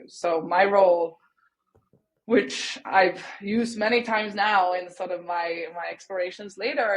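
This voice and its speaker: Swedish-born woman, low voice, on a video call. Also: rough recording. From Sweden. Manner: thoughtful, hedging with "sort of" and "kind of". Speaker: guest. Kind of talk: casual interview talk. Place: home room, indoors.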